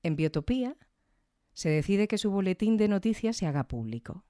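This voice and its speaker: A woman's voice: mid-range voice, animated, normal speed, warm tone, dry recording, studio quality. A woman hosting a podcast, giving reflective, conversational scripted commentary with tag questions. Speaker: podcast host.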